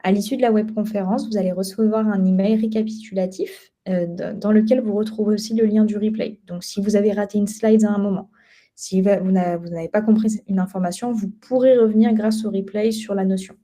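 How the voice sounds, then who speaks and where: A young woman presents a live webinar from her office, with a very mellow, dark-toned voice. She talks in a relaxed, conversational way and often pauses with fillers. Her pitch sits in the middle. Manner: conversational with frequent hesitations. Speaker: project officer and webinar co-host. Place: office at headquarters.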